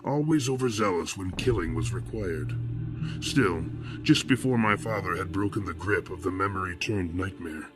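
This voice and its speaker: A man acting as a somber first-person narrator of horror fiction, grave and deliberate in ornate storytelling. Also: low voice, close to an everyday voice. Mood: grave, ominous. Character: somber male narrator recalling nightmarish horrors. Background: loud music.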